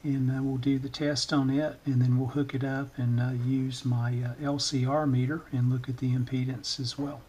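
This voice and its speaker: Man with a flat, halting delivery. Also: mid-range voice, husky. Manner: halting, hesitant delivery in short phrases. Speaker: electronics repair channel host, demonstrator. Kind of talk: informal hands-on tutorial demonstration. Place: indoors at a workbench.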